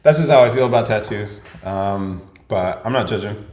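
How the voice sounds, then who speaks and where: A man's voice: fairly low pitch, normal speed, a little mellow. A young man hosting a livestream Q&A podcast, chatting casually and laughing often as he jokes. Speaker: podcast host. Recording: echoey room.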